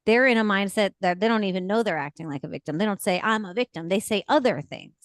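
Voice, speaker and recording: A woman's voice: medium pitch, fast talker, no room sound, clean studio sound. A woman co-hosting a podcast who explains her points in a confident, affirming way. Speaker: podcast co-host, hypnotherapist. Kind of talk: casual podcast conversation.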